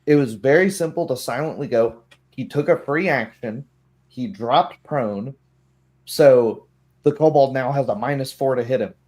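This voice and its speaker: A man's voice: medium pitch, moderate pace, darkish tone. A man with a mid-range voice talks as a game master in a loose, casual chat. He rambles along and slips into imagined remarks to illustrate what he means. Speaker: game master of a tabletop roleplaying group. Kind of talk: casual chat about tabletop game rules.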